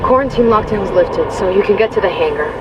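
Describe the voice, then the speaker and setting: A woman playing a sharp, anxious technical specialist in a video game, urgent and plain-spoken. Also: medium pitch, animated, brisk, highs cut off, very noisy, lightly acted. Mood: tense. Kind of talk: dramatic video game acting.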